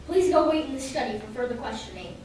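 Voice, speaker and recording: A woman's voice: a little high, expressive, fast talker, darkish tone, close to an everyday voice. A woman playing a firm detective in a radio play, recorded in a very echoey room. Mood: businesslike. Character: firm detective questioning former classmates at a crime scene. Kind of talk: amateur radio play acting. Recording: very echoey room, distant mic, faint noise.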